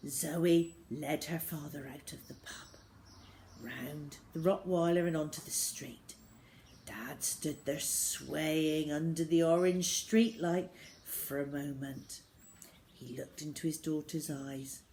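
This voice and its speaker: A woman reading a children's novel aloud as an expressive storyteller. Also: sits low, slow, slight background noise.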